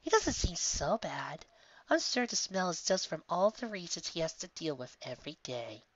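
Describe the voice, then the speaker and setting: Guy doing a chatty teasing lady pony, sly gossip, swoopy pitch, storybook style, bit muffled. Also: very animated, normal speed, a bit bright. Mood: amused. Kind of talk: audiobook character acting.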